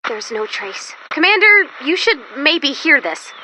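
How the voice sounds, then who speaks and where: A woman voicing a perky, excitable young robot who guides the player through missions in a video game, chipper and quirky, eager then sheepish. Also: high-pitched, unhurried, background noise. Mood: earnest, concerned. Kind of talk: video game character voice-over.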